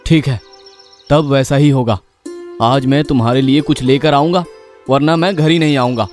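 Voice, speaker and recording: A man playing a lazy, sly, henpecked village husband in a children's cartoon story, with exaggerated, drawn-out exclamations. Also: mid-range voice, steady tone, a little mellow, soft background music, lightly acted. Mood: weary, exasperated.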